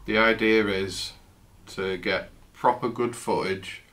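A man in his fifties, croaky from a flu, chatting casually through an unboxing in his home office, recorded on a camera's built-in mic. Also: on the low side, slow pace. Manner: relaxed, chatty, trails off. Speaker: tech vlogger. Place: home office, indoors, seated at a desk.

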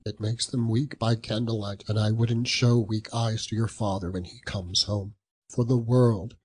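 A man reading a storybook voices a poor, gentle mother who is tender and sad. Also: fairly low pitch, steady tone, a little slow, a little hiss or hum, natural voice. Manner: soft, tender.

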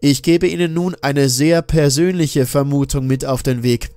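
A man voicing a grave expedition captain in a horror audiobook, speaking in a solemn, measured, emphatic way. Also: fairly high pitch, fairly even intonation, a little mellow, no room sound, lightly acted. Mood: grave warning. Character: grave expedition captain warning his crew of danger.

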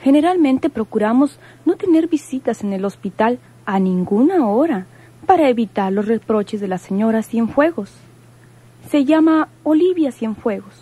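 A woman's voice: fairly high pitch, animated, moderate pace, warm tone, slightly rough. An actress playing a polite, serious woman in a radio soap, speaking properly and measuredly. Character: polite, serious hospital working woman, grieving sister. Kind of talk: Mexican radio soap acting.

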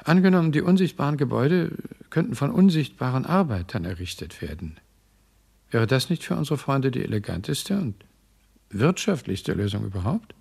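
An actor playing a sly, obliging corrupt official in a German radio play, speaking in formal, measured euphemisms. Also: male voice, medium pitch, big pitch swings, vocal fry, darkish tone, breathy edge, exaggerated voice. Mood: smooth scheming.

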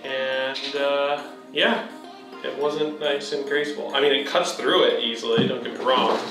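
A man's voice: mid-range voice, flat delivery, measured pace, over music, low-quality recording. A young man casually explaining renovation steps on camera, with lots of fillers. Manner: explains with many fillers, easygoing. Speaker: vlogger.

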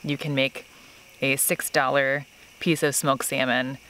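A woman's voice: rumbling low, little pitch movement, measured pace, a bit bright, a little hiss or hum. A woman in her thirties vlogging outdoors to a camera held at arm's length, chatty and self-deprecating, laughing easily. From not from California. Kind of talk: casual vlog talk to camera. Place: outdoors by a tree in a park.

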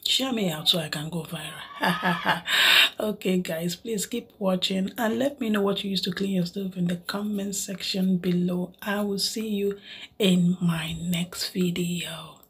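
A woman hosting a vlog, chatting to her viewers in a soft, breathy half-whisper and playfully pleading with them. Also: lowish voice, measured pace. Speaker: vlog host. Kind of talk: casual chat to viewers.

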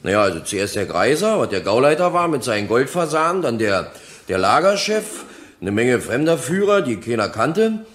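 A flippant working man on the witness stand in a radio drama. His mid-pitched voice swings in lively fashion as he rambles colloquially. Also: moderate pace. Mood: rambling, irreverent. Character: chatty, irreverent unskilled Berlin working man as witness. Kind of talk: German radio courtroom drama acting.

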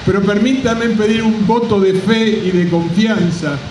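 A middle-aged man gives a measured, deliberate public speech as a company representative at an outdoor podium. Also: high-pitched, fairly even intonation, darkish tone. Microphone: microphone on a stand at a podium. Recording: roomy distant PA microphone, background noise.

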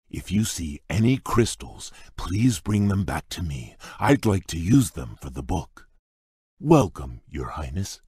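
An actor playing a large, shy, polite beast prince in a video game, speaking in a courteous, formal, earnest way with a husky voice. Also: male voice, a little mellow, no room sound, clean studio sound, full character voice. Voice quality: deep husky voice. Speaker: game character. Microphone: dry close studio mic.

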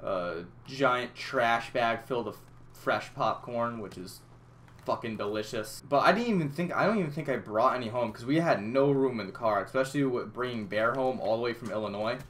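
A young man tells a loose, rambling story in a small room, stumbling over restarts and swearing often. His voice sits at an ordinary middle pitch, and he talks into a headset mic. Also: normal speed, fairly bright tone. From Massachusetts. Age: young adult. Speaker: gaming YouTuber. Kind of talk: casual storytelling over gameplay.

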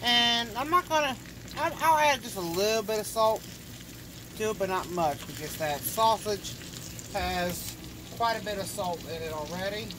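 A man's voice: high voice, moderate pace. A man casually chatting while he cooks in a small RV kitchen, rambling folksy talk with a phone camera held over the stove. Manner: relaxed, rambling, folksy, with fillers. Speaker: home cook vlogger. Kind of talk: casual cooking vlog. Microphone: handheld phone camera over the stove. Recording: kitchen noise with sizzling food.

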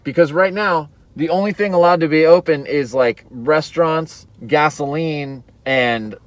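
A man's voice: on the high side, measured pace, no echo. A young man, talking candidly and a bit worried in a casual vlog, recorded in a car on a dashboard camera, his voice muffled through a face mask. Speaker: vlogger. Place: inside a car. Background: faint car and street noise.

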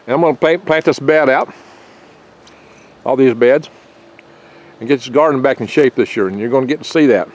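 An older man talks casually outside in a garden among pine trees, holding the camera close as he speaks. His delivery is folksy and meandering, with little jokes and occasional stumbles over his words. His pitch sits in an ordinary middle range for a man. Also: moderate pace, pauses often. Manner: rambling, folksy, chatty with small jokes and stumbles. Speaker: foraging channel host. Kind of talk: casual outdoor foraging vlog. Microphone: handheld camera mic held close.

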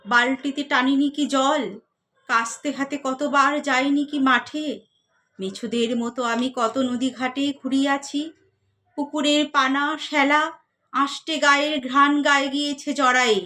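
A woman with a high voice recites poetry at a slow pace. Her delivery is expressive and measured, and her phrases drop softly as each line closes.

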